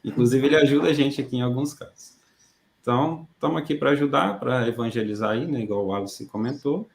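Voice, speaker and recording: A man working as a team leader for databases, introducing himself in a relaxed, joking manner on an online class. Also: medium pitch, a little fast, creaky, roomy sound.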